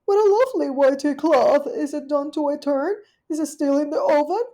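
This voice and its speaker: A woman's voice: high-pitched, normal speed, mellow, voice pushed up, natural voice. A woman voicing an anxious, greedy little female dog guest in a storybook reading, eager and fussy.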